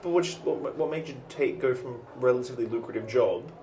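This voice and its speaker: A man's voice: medium pitch, fairly quick, dark tone, slight background noise. A man hosting a podcast, talking casually with lots of fillers and enthusiastic restarts. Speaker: podcast host. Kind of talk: casual podcast intro and interview. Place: small bar.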